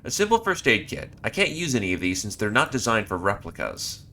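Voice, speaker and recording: Guy streaming a game, reads stuff out loud, gets super loud sometimes, voice jumps all over. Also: fairly low pitch, a little fast, a little hiss or hum. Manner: reads on-screen text aloud, bursts into loud excited outbursts. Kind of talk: live gameplay commentary.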